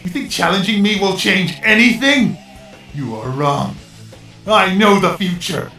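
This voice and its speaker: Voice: mid-range voice, lively intonation, a little slow, bright, forceful tone, faint music behind. An actor voicing a menacing, arrogant robot villain who speaks in grandiose, snarling threats in a fan audio drama.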